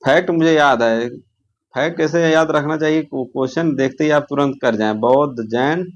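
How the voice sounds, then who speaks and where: A man's voice: a little high, normal speed, lo-fi sound. A man teaching an informal exam-prep lesson, posing questions, answering them himself and repeating key terms.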